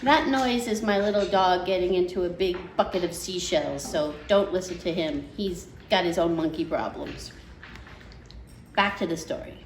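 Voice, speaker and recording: A woman's voice: on the low side, moderate pace. A woman reading a picture book aloud to children as storytime host, dramatic and full of questions and chatty asides to her listeners. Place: indoor room at home. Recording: roomy echo, faint background noise.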